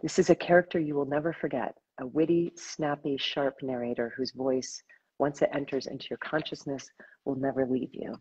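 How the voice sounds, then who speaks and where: A woman's voice: rumbling low, steady tone, moderate pace, a little mellow, slightly rough, lo-fi sound. An older woman hosting an interview over a video call, speaking with effusive enthusiasm about a novel she loved. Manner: effusive, enthusiastic, laughs often. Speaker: host. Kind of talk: casual interview. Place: home room with plants.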